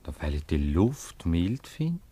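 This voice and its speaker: An actor playing a jealous, poetic former lover in a radio play, questioning reproachfully and melancholically. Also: male voice, deep voice, very animated, a little slow, dark tone, breathy edge, exaggerated voice. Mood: tender, wistful. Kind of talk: German radio play acting.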